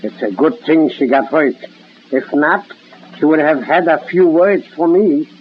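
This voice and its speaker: An actor playing a worried, grumbling middle-aged husband in an old-time radio soap, scolding and sardonic with folksy phrasing. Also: male voice, a little high, a little slow, lightly acted. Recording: lo-fi old radio recording with hiss.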